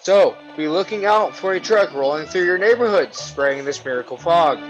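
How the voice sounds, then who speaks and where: Old-time radio announcer, played by a man, cheerily reassuring, muffled lo-fi radio sound over clear music. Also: fairly high pitch, expressive, normal speed. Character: reassuring, folksy mid-century public announcer promoting pesticide spray. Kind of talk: vintage radio announcement acting. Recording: dull lo-fi sound, music underneath.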